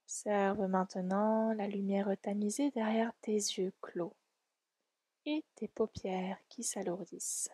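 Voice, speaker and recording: A woman leading a guided relaxation meditation in a soft, soothing, gentle and unhurried way. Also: mid-range voice, husky, no echo. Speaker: yoga nidra guide.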